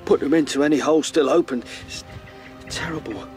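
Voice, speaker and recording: An actor playing a traumatised male rabbit, a former captain, speaking in a halting, haunted and distressed way in a radio drama. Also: a little high, darkish tone, natural voice. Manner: halting, haunted, breaking off mid-sentence. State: shaken, distressed. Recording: music under the voice.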